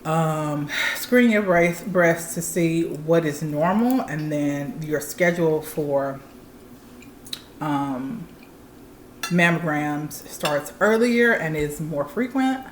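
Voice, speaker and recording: A woman's voice: deep voice, slow, reverberant, slight background noise. A woman in her thirties chatting candidly and explaining things plainly to viewers as a channel co-host. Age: 30s. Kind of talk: casual chat.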